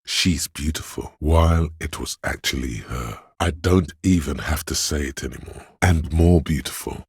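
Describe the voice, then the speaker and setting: A man voicing a grim, goading inner voice of pain and endurance in a video game, narrating with slow, weighty, intense delivery and a husky, gravelly tone. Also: very deep voice, no echo, clean studio sound, full character voice. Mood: anguished, reverent.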